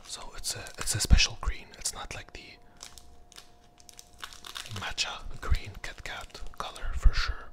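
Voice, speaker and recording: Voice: a little slow, pauses often. A person whispering an ASMR candy review close to the microphone, hesitant and often repeating phrases.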